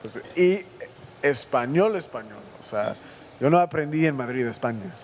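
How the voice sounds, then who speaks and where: A man from the United States with an American English accent, a native English speaker, hosting a casual church podcast and talking in a halting, self-correcting way. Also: mid-range voice, animated, a little hiss or hum. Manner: halting, self-correcting, joking about his Spanish. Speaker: podcast host.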